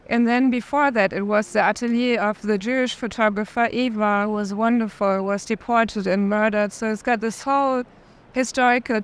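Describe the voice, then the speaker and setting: A woman interviewing an artist, giving earnest, articulate commentary in a conversational way. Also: mid-range voice. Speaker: interviewer, art director. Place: busy art fair.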